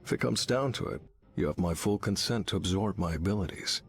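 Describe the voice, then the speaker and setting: Brooding warrior hero, deep voice, solemn, resolute, formal delivery, video game. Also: male voice, a little fast, faint music behind. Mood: solemn resolve. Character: solemn, honorable male warrior hero with inner demons. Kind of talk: video game character voice acting.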